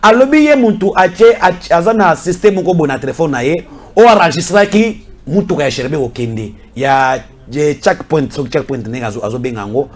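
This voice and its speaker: A man's voice: on the high side, expressive, normal speed. A Congolese man in a heated political talk, speaking emphatically and insistently with many repetitions. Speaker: political commentator. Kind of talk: heated political talk mixing French and Lingala.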